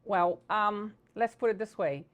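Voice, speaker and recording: A woman from Brazil, a Portuguese speaker, answering interview questions as a political candidate in earnest, halting English. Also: mid-range voice, dull audio.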